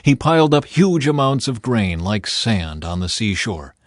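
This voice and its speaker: A man narrates scripture in the manner of an audiobook. His mid-pitched voice moves through the text in measured, clearly phrased lines with expression. The recording is dry, with hardly any room sound. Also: big pitch swings, dry recording.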